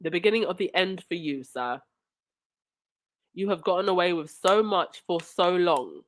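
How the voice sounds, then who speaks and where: A woman's voice: lowish voice, expressive, normal speed. A woman hosting a live chat stream, thanking viewers and reading comments, indignant at times, with a stuffy nose from a cold. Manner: indignant, talks back to chat and supporters. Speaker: livestream host.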